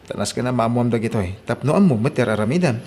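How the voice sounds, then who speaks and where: A man's voice: medium pitch, lively intonation, fast talker, a little mellow, a little hiss or hum, natural voice. A man acting a chatty, teasing but helpful friend in an Ilocano drama, breezy and gossipy, with an Ilocano accent.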